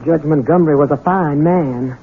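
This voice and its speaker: A woman's voice: deep voice, normal speed, slight background noise. A woman playing an anxious, deferential middle-aged housekeeper in a 1970s radio drama, humble and folksy. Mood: humble, guarded. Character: anxious, deferential older housekeeper, protective mother. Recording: tinny 1970s radio recording.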